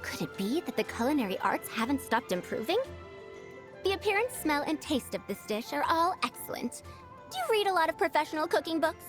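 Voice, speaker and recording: High, lively, schoolmarmish female inventor. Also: brisk, over music. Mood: approving. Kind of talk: video game character voice lines.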